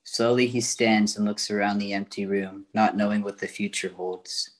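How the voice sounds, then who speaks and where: A man's voice: on the low side, fairly even intonation, normal speed. A man reading scripted narration for a radio play as its narrator.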